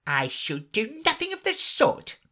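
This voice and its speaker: A proud, touchy mouse speaking severely in a high, thin voice, as a man reads it. It snaps with clipped dignity and lively rises and falls. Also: measured pace, exaggerated voice. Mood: indignant. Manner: severe, sharp.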